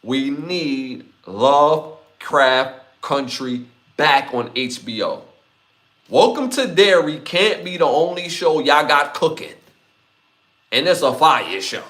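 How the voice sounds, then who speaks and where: A man's voice: fairly high pitch, animated, slow pace, fairly bright tone. A man in his forties hosting a podcast, talking straight to his live audience with emphatic, repeated appeals. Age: 40s. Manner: emphatic, repeats himself, talks to chat. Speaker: podcast host. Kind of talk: solo podcast commentary.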